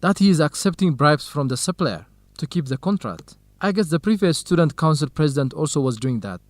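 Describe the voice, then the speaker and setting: A man acting a gentle, supportive young researcher and loyal friend in a radio drama, speaking in measured, carefully enunciated lines. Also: on the high side, animated, no room sound, close to an everyday voice. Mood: apologetic. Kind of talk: radio drama acting, clear dialogue for language learners.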